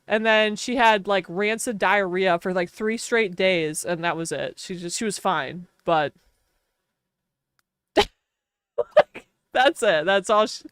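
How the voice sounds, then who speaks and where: Chatty Wisconsin woman with a smooth voice. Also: mid-range voice, fairly bright tone, no echo. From Wisconsin, USA. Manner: chatty, laughs often, lots of "like". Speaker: game streamer. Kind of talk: casual livestream chat.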